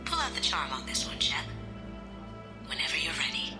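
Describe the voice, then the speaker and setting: A woman's voice: deep voice, very animated, moderate pace. An actress playing a sly, confident, playful female master thief in a video game, with a breezy, self-assured manner. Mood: conspiratorial. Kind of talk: scripted game dialogue. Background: background music.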